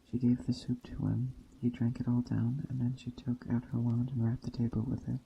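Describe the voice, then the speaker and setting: A man softly reading a story in a hushed, gentle ASMR storytelling style. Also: mid-range voice, level tone, normal speed, gravelly, dull audio, slight background noise. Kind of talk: soft-spoken ASMR reading of a Greek myth tale. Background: soft nature ambience.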